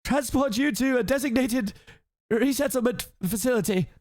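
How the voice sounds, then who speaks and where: A man's voice: very high-pitched, normal speed, darkish tone, pitched above the performer's own voice. A man doing a barking guard captain. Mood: officious. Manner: barking orders. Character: pompous shouting male guard captain.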